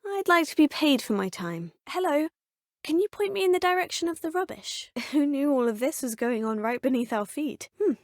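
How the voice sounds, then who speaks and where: An actress with a British accent voicing a young woman who is a curious, polite student of magic in a video game, crisp and well-spoken with a lightly wry manner. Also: high voice, lively intonation, normal speed, no room sound. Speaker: player character in a video game. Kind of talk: game voice clips. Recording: dry studio voice-over.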